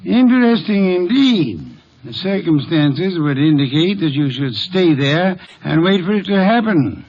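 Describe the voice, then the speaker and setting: An actor playing a portly, fussy, sardonic middle-aged master detective in an old American radio drama, wordy and grumbling. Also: male voice, on the high side, very animated, a little slow, heavily acted. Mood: dry, sardonic. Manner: grumbling, wordy, dryly sarcastic. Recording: muffled vintage radio recording.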